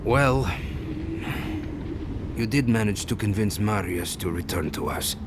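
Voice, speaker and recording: An actor playing a gruff, grudging and wary male gatekeeper in a fantasy game, speaking bluntly with a grumbling defiance. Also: fairly low pitch, unhurried, heavy background noise, close to an everyday voice. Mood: grudging, warning. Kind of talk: dramatic fantasy game acting.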